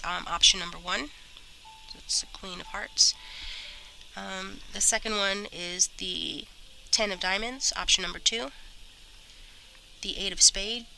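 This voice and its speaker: A woman with a stuffy, slightly rough voice from a cold, chatting casually as she gives a tarot reading. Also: mid-range voice, sharp tone, a little hiss or hum, rough recording. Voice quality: a little rough. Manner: chatty, many fillers and restarts. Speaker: tarot reader.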